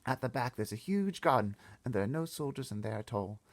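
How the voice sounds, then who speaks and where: A man's voice: mid-range voice, lively intonation, brisk, voice pushed up, lightly acted. A man voicing a bossy, eager little girl in a storybook read-aloud, explaining things emphatically and confidently.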